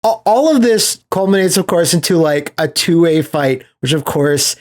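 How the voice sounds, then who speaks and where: Guy podcast host w/ a highish voice, casual, swears a bunch, animated storyteller who laughs along. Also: normal speed. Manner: sweary, laughs while telling stories.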